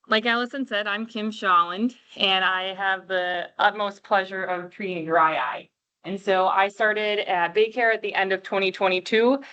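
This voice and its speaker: A woman eye doctor giving a passionate health presentation to an audience, with a big voice, speaking away from the microphone. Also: mid-range voice. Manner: warm, passionate, clear explaining. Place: room with a live audience, talking away from the mic. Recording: dull lo-fi room recording.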